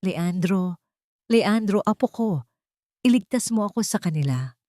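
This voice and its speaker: A woman's voice: mid-range voice, lively intonation, measured pace, dark tone, no echo, clean studio sound, close to an everyday voice. A woman with a Filipino accent voicing a feverish, frightened old grandmother who pleads weakly in an audio drama. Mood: frightened pleading.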